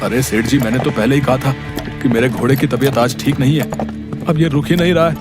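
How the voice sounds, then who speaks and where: A man's voice: medium pitch, steady tone, brisk, music in the background, close to an everyday voice. A man voicing a plain-spoken village working man in a cartoon story, respectful but matter-of-fact. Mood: apologetic. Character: plain-spoken village working men: vendor, servant, cart driver. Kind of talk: animated moral-story cartoon acting.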